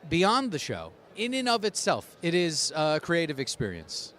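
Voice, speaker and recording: A man's voice: on the high side, huge pitch swings, unhurried. A man hosting a podcast, reading sponsor spots and an intro with emphasis, then chatting casually with a guest. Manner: upbeat and emphatic in the intro, soft and chatty in conversation. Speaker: podcast host. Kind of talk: scripted intro read, then casual interview.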